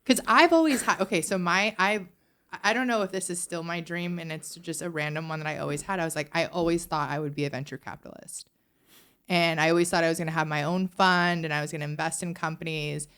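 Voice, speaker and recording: Low-voiced chatty woman podcast host. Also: steady tone, a bit bright, clear, resonant tone. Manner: chatty, curious, quick interjections. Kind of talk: casual interview chat.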